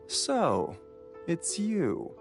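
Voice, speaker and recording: A courteous young male pharmacist in a video game, unruffled and gently musing. His intonation rises and falls very widely, with music playing behind him. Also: a little high, huge pitch swings, very slow, gravelly, full character voice. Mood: polite, musing. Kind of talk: English video game voice acting.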